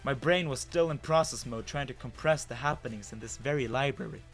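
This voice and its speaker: A man's voice: medium pitch, fairly quick, faint music behind, close to an everyday voice. A man as a bewildered, hesitant human narrator. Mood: stunned, observant. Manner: hesitant, dramatic, wondering. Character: bewildered human man with lost memory, narrating his story. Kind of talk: first-person dramatic story narration.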